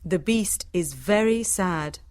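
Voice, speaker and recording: A woman storyteller reading a scripted fairytale for English learners in measured, short, simple phrases. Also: fairly low pitch, expressive, darkish tone, no echo, studio quality.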